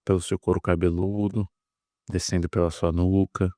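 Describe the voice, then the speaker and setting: Deep-voiced Brazilian man guiding hypnosis. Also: level tone, mellow, dry recording, studio quality. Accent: Brazilian Portuguese. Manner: soothing, repetitive, drawn-out phrasing with pauses. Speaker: guided meditation and hypnosis guide. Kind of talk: guided meditation, hypnotic induction.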